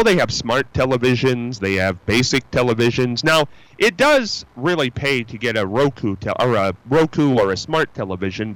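A man hosting a radio call-in show, talking in a loose, folksy ramble with plenty of ums and restarts. His pitch swings up and down as he talks. The sound is close and dry. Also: fairly bright tone, no echo, low-quality recording. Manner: rambling, chatty, with many fillers and restarts; upbeat and folksy. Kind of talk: casual call-in chat. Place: radio studio.

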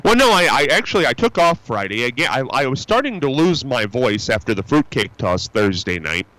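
A man's voice: medium pitch, sing-song, a little fast, bright, forceful tone, no room sound, poor audio. A male radio host chatting with callers in a folksy, rambling way, full of fillers and restarts. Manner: upbeat and folksy. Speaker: radio call-in show host. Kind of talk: casual call-in chat. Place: radio studio.

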